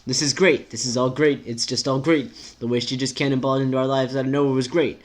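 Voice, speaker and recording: A man's voice: mid-range voice, level tone, fast talker, natural voice. A man voicing a vain, irritable, sarcastic teenage girl with a biting, emphatic delivery. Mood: bitter sarcasm.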